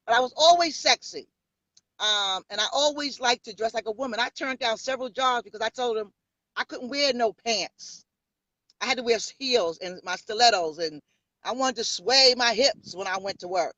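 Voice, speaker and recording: A woman's voice: a little high, normal speed, pushed and bright, dry recording, muffled recording. A woman in her fifties from New York, with a New York accent, telling her story in a bold, sassy way as an interview guest. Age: 50s. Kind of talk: casual interview.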